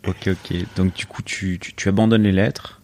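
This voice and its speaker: A man's voice: sits low, fairly even intonation, normal speed, darkish tone. A middle-aged man hosting a podcast, asking questions casually with hesitations and repeated words. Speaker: podcast host.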